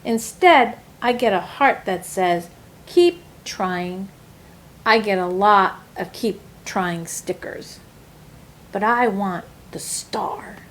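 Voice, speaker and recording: A woman reading a children's picture book aloud in an expressive storytelling style, with deliberate phrasing. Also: mid-range voice, a little hiss or hum.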